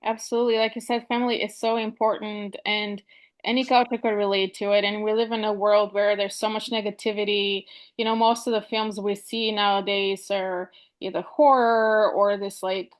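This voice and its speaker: A woman's voice: medium pitch, flat delivery, normal speed. A woman from Bulgaria interviewing a filmmaker in a friendly, chatty way with many "like"s. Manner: friendly, lots of "like" and "you know". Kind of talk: casual interview talk.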